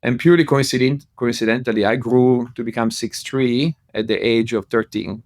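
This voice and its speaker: A man from Italy with a clear Italian accent talks through a headset on a video call. His voice has a gravelly edge, and he laughs easily and fills his pauses with "uh". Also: medium pitch, fairly even intonation, no echo, clean studio sound. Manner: warm, laughs often, many fillers. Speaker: podcast guest. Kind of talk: remote interview.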